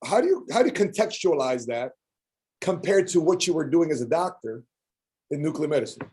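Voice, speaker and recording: A man's voice: fairly high pitch, animated, fairly quick, a little mellow. A man hosting a podcast, asking questions in a playful, joking way and stumbling over words now and then. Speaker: podcast host. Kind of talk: casual podcast interview.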